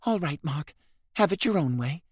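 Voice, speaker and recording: A man's voice: on the high side, expressive, normal speed, slightly rough, no echo, clean studio sound, pitched above the performer's own voice. A man doing a trembling young woman. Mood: anxious. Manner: anxious, shaky.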